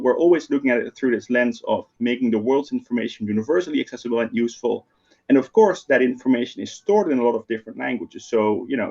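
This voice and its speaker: A man's voice: mid-range voice, darkish tone, dull audio. A man from the Netherlands with a slight Dutch accent, giving an online keynote in a conversational way and often asking "right?". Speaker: keynote speaker. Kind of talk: online lecture. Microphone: video call.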